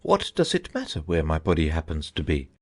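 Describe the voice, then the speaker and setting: A man voicing a solemn, dreamy old knight who rambles about his inventions, in a husky voice. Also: fairly quick, full character voice. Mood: musing. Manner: rambling, thoughtful, lively pitch swings.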